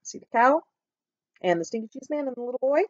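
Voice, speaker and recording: A woman in her thirties reading a picture book aloud to schoolchildren with expressive storytelling. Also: sits high, quick pace, dry recording. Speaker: school staff reading to pupils. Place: small book-lined room at home. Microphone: webcam at arm's length. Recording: dull, lo-fi webcam sound.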